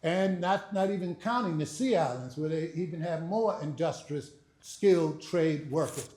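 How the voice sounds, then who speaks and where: An older man giving a speech to a live audience, first a dramatic reading and then a relaxed chat, laughing. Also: normal speed. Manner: booming oratory with rising emphasis; chatty, laughing, folksy asides. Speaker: speaker and author. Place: library event hall. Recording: echoey room.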